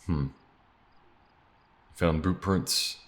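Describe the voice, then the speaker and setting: A man playing a laconic, tactical alien bounty hunter in an audio roleplay, with a low gruff voice and a measured, folksy way of thinking aloud. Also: steady tone, full character voice. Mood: wary, focused.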